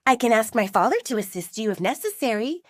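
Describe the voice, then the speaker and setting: A woman's voice: fairly high pitch, lively intonation, quick pace, dry recording, studio quality. A woman voicing an eager, polite, fawning young werewolf woman in an audio drama. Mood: eager, deferential.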